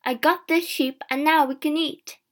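A woman's voice: a little high, steady tone, takes it slowly, darkish tone. A woman voicing a simple, obedient poor village lad in a story, with plain, repetitive phrasing. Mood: happy.

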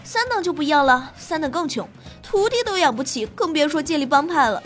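A woman's voice: high voice, lively intonation, fairly quick. A woman host reading scripted gaming narration in a playful, dramatic storytelling manner. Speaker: game show host and streamer. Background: background music.

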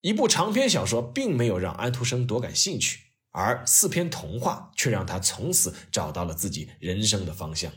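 A man narrating a scripted history story as its host, in an expressive, conversational storytelling manner. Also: lowish voice, moderate pace, hardly any pauses.

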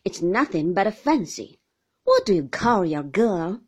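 A woman's voice: medium pitch, sing-song, unhurried, breathy edge. Woman doing a brusque, formidable old aunt. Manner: loud, curt and commanding.

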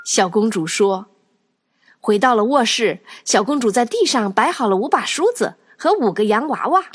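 Animated, high-voiced woman storyteller. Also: moderate pace, soft background music. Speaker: narrator. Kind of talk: expressive children's story narration.

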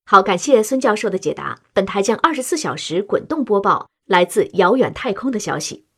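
A woman's voice: mid-range voice, very animated, fairly quick, fairly bright tone, breathy edge, heavily acted. A woman playing an earnest TV news anchor of about thirty in a radio drama, with a formal announcer's delivery. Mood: inquiring. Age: 30s.

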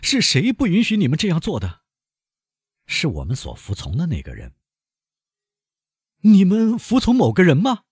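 A man voicing a frantic aging male banker held captive, husky and shrill, pleading and desperate in a storybook reading. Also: huge pitch swings, normal speed, long pauses, a little mellow, dry recording, studio quality, heavily acted. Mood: haggling.

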